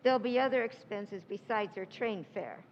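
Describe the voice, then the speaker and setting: A woman's voice: mid-range voice, normal speed, dull audio, slight background noise, close to an everyday voice. A woman playing a bad-tempered old aunt in old-time radio drama style, curt and scolding. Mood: cross, curt.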